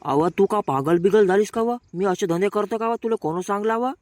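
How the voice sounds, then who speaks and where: A man's voice: sits high, moderate pace, darkish tone, no echo, natural voice. A man voicing a brash, grumbling young village man with a rural Marathi accent, bickering in slang in a comic cartoon. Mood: grumbling banter.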